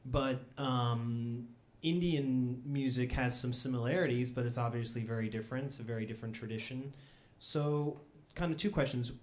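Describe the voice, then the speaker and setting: A man's voice: mid-range voice, normal speed, darkish tone. A man interviewing a musician, building long, thoughtful questions.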